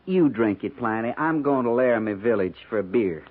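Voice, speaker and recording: A weary, dry-humored frontier sergeant, played by a man in a 1950s radio western. His pitch swings widely as he talks. The recording is narrow-band and heavy with noise. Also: lively intonation, fairly quick, lightly acted. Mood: fed up.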